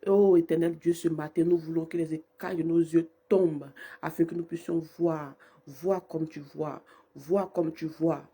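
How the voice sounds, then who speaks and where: A woman leading a live-streamed morning prayer with fervent, repetitive invocations, her voice hoarse from a cold. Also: low-pitched, steady tone, measured pace, mellow. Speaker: prayer leader.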